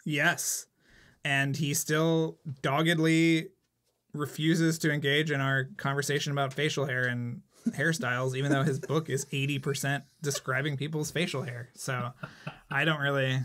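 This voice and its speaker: A man's voice: medium pitch, level tone, moderate pace, a bit bright. A man co-hosting a podcast with playful, wry casual banter. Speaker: podcast co-host.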